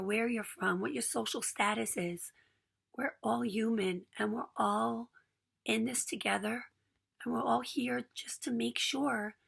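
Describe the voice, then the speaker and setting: A hoarse woman in her forties talks earnestly to her viewers. Her voice is deeply gravelly and raspy. She speaks from the heart, pausing often with ums. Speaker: small business owner. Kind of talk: personal video message.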